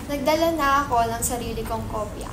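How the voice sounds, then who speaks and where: An imperious church minister, played by a woman in a dramatic reading, with a fairly high voice and formal, preachy delivery. The pompous, demanding speech is captured in an echoey room with clear background noise. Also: fairly quick, lightly acted.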